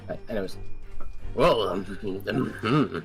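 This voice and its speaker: A man's voice: fairly low pitch, expressive, takes it slowly, gravelly. A man chatting casually during a live game stream with friends. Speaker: player in a group game dub stream. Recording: faint music behind.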